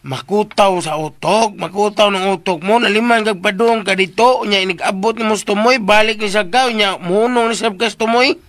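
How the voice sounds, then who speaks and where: A man's voice: sits high, fairly quick, few pauses, a bit bright, no room sound. A man playing a teasing, bantering minder in a Filipino radio drama, exclaiming and repeating himself with a Filipino accent. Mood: exasperated, humouring.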